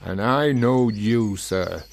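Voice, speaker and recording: An actor playing a sly, philosophising gravedigger in a radio play, florid, teasing and mock-humble. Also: male voice, lowish voice, slow, creaky. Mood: earnest pleading.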